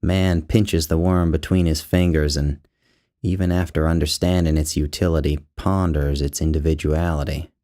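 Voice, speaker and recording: A man narrating his own literary short story in a measured, deliberate way, drawing out phrases. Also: extremely low pitch, steady tone, mellow.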